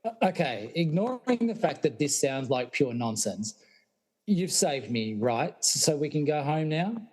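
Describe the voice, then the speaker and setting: A man's voice: on the high side, sing-song, measured pace, darkish tone. A man playing a bewildered, sceptical teacher in an amateur comedy radio play, questioning everything with incredulity. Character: bewildered, sceptical science teacher pulled out of trance.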